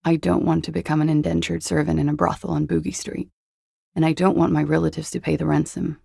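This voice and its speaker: Young party-girl femme, video game dialogue, husky, very gravelly fry, dry understatement, cool and guarded. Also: deep voice, flat delivery, fast, a little mellow, dry recording, full character voice. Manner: languid, dry, sardonic understatement. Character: cool, evasive young former beauty queen and party girl. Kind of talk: video game dialogue, low-key and naturalistic.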